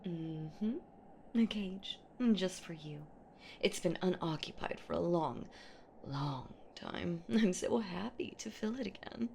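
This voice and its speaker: A woman's voice: fairly low pitch, expressive, a little mellow, a little hiss or hum, heavily acted. A woman voicing an ancient female boogeywoman in a horror roleplay audio drama, predatory and possessive, with teasing, menacing delivery. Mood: possessive, sweetly menacing. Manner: slow, teasing, menacing, breathy whispers and drawn-out words.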